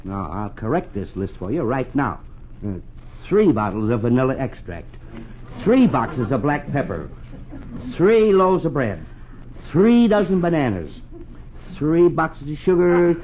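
Blustery penny-pinching American father, 1940s radio comedy; highly animated delivery, thin tinny broadcast sound. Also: unhurried, a little hiss or hum. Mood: insistent. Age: middle-aged. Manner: blustering, pompous, sputtering. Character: blustery, exasperated, penny-pinching middle-aged suburban father.

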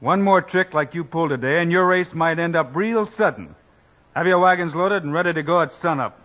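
Western radio hero, male actor: steady, commanding American lawman, slightly high voice, dulled old broadcast sound. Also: brisk, close to an everyday voice. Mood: firm, warning. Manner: commanding, plain-spoken. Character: calm, firm, heroic western lawman, fair-minded. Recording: muffled old radio recording, faint noise.

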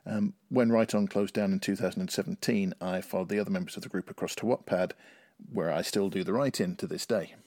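Deep, slightly husky man from Northern Ireland. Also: fairly even intonation, a little fast, a little mellow, dry recording, studio quality. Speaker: writer, podcast guest. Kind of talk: interview answers and reading own short story.

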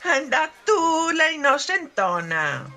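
A woman acts out a knowing confidante in a comic radio soap. Her voice is bright and swoops widely, yet she draws her words out slowly as she teases. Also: sits high, very animated, faint music behind, exaggerated voice. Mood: teasing. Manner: knowing, teasing. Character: teasing, worldly female confidante of a lovestruck girl. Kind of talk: humorous radio soap acting.

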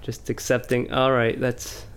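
Smooth-voiced man in easygoing chat. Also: mid-range voice, monotone, unhurried. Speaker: podcast co-host. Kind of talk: casual chat.

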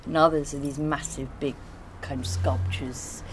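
A woman's voice: sits low, fairly even intonation, moderate pace, a little hiss or hum. A woman in informal conversation, explaining and recollecting in a rambling way. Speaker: artist being interviewed.

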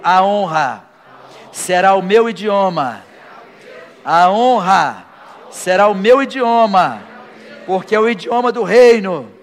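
A male pastor preaching a sermon to a live congregation and leading a prayer, his delivery rising to fervent declarations. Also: high voice. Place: church hall, live before a congregation. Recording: long hall reverb with faint music.

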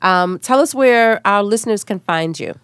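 A woman's voice: medium pitch, lively intonation, moderate pace, fairly bright tone, smooth, no room sound, studio quality. A woman hosting a podcast in a casual, effusive, informal conversational style. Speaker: podcast host.

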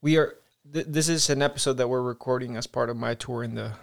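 Gravelly-voiced male podcast host. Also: mid-range voice, fast talker. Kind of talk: interview questions.

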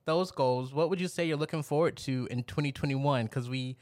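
A man's voice: medium pitch, brisk, darkish tone. Young male podcast host, casual and upbeat, mid-range voice. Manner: upbeat, casual, says "like" often.

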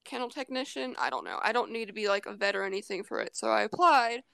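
A woman's voice: on the high side, lively intonation, fast, creaky, fairly bright tone, smooth, no echo. A woman in her twenties chatting as a podcast guest, rambling and giggling with lots of fillers. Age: 20s. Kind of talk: casual chat.

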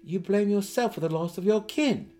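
Fantasy audiobook lead: noble male dark elf, earnest and formal, measured delivery, performed by a male narrator. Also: high voice, animated, pitched above the performer's own voice. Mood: gently pressing.

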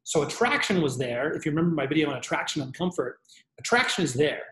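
A man's voice: a little high, sing-song, fast, fairly bright tone, echoey room, poor audio. A man coaching on dating, walking through his text messages in a casual teaching tone. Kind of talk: talking-head advice video.

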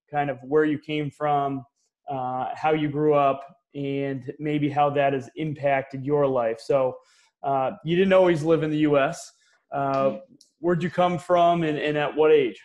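A man speaks as a podcast host. His voice sits a little high for a man and stays fairly flat in pitch as he leads the interview. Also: dull audio. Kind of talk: interview with scripted introduction.

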